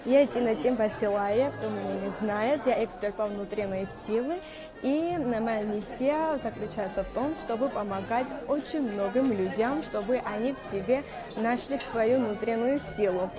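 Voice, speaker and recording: Brief: young female coach, non-native accent, lightly high voice, stop-start delivery into an earphone mic, music behind. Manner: halting, with many fillers and restarts, greeting viewers by name; speaks Russian as a second language with a noticeable accent. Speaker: inner strength coach hosting a live stream. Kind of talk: live-stream talk to viewers. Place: small room indoors. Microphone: wired earphone mic. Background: music playing.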